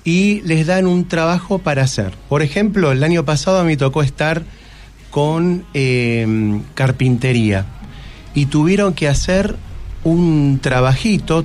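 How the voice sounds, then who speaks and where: An Argentine man with a Rioplatense accent talks as a school guest in an informal interview. His voice is of middle pitch, and he lays out his explanation step by step. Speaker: school staff member, guest.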